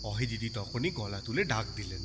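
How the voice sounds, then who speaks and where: A man's voice: lowish voice, expressive, moderate pace, a bit bright, a little hiss or hum. A man narrating a scripted story expressively, as an audiobook storyteller would. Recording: long reverb over music bed. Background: music and cricket sounds.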